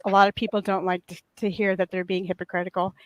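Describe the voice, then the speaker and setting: A woman on a casual panel chat with a soft voice that turns crackly and raw, telling a long, earnest, indignant story. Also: mid-range voice, level tone, fast, no echo.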